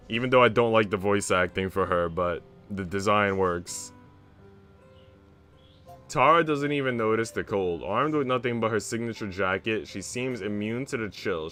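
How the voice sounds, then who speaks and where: Young guy streamer, lowish smooth voice, laid-back slangy, faint music behind. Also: pushed and bright. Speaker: game streamer. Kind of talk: casual stream chat and visual novel read-aloud.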